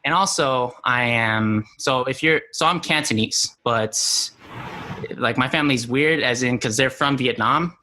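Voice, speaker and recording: A man's voice: mid-range voice, unhurried, sharp tone, low-quality recording. A young man from New Mexico, a guest on a podcast interview, talking casually with lots of "you know" and easy laughs. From New Mexico, USA. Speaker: guest, content creator.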